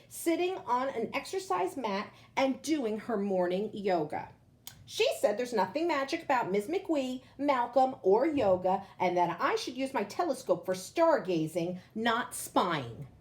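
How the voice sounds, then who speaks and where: A woman reading a children's picture book aloud in an expressive, deliberate storytelling manner, with emphatic phrasing for young listeners. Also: on the high side.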